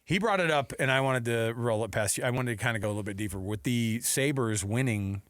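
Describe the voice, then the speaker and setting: A man's voice: mid-range voice, fairly quick, a bit bright, dry recording, clean studio sound. An American man hosting sports talk radio with casual, chatty banter that jumps off the cuff between thoughts. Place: radio studio.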